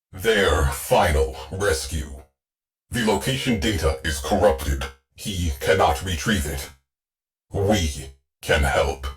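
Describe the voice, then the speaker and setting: Deep, terse, solemn ship AI. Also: male voice, full character voice. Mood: solemn, grave, then urgent, commanding. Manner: clipped, terse, formal fragments. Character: terse, solemn male shipboard artificial intelligence, battle-hardened and loyal. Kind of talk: scripted video game character lines.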